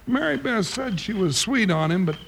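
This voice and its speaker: A man's voice: high voice, animated, normal speed, vocal fry, fairly bright tone, heavily acted. A man playing a folksy, bumbling comic cowboy sidekick with an American drawl, in an old-time radio western. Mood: curious. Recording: noisy old radio show recording.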